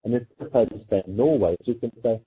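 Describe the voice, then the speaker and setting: Tinny phone-quality audio, guy with a really husky voice doing casual coaching advice, explains with little examples. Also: mid-range voice, quick pace. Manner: informal, explanatory, illustrates points with quoted exchanges. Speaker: dating coach. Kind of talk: conversational advice with acted-out example dialogue. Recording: muffled phone-like audio, thin and lo-fi.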